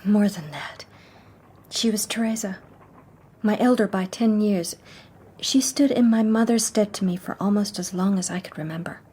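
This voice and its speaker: An actress playing a wistful young society woman, telling her story in a hushed, measured radio drama style. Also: medium pitch, breathy edge, natural voice. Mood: haunted, sorrowful.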